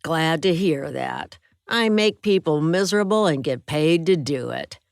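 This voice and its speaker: A woman reading a gruff, menacing male hospital patient who taunts in a snide voice. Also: low voice, animated, unhurried, no echo, clean studio sound, heavily acted. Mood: taunting.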